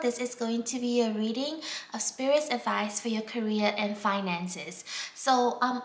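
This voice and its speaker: A woman from Singapore, doing a casual tarot reading in a gentle, unhurried way with many fillers, dropping to a near whisper as she draws cards. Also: a little high. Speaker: tarot reader. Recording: echoey room, faint background noise.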